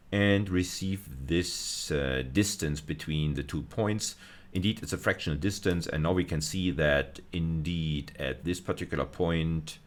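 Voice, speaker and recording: A middle-aged man with an extremely deep voice delivers a technical screencast lecture. He explains step by step in a halting way, thinking aloud and rephrasing himself. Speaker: university lecturer.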